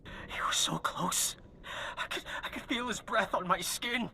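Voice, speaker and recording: An actor playing an exhausted, broken rescued soldier in a video game, weak and breathy with relief. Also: medium pitch, measured pace, pushed and bright, whispered. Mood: haunted.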